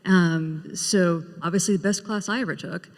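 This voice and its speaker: A woman gives measured, conversational answers as a guest writer on a panel. Her voice rings with the long reverb of a big room. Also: on the low side. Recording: echoey hall with long reverb.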